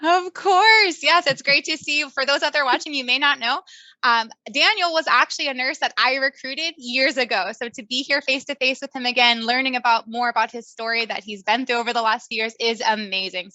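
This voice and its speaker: A woman's voice: a little high, brisk, sharp tone, dry recording. A woman hosting an interview with nurses in a cheerful, enthusiastic way. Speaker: host, nurse recruiter.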